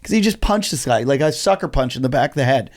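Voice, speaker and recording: A middle-aged man, a stand-up comedian, telling stories in a casual podcast chat, animated and swearing freely. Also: mid-range voice, quick pace. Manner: animated storytelling, heavy swearing.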